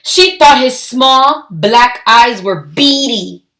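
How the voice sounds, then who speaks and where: A woman reading a children's story aloud, expressive and emphatic, with deliberate phrasing. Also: fairly high pitch.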